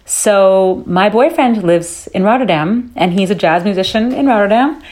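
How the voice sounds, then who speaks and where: A woman's voice: medium pitch, animated, normal speed, darkish tone. A woman who was born in London and raised in New York City, chatting as an interview guest and thinking aloud with restarts. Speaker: guest singer. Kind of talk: casual interview chat.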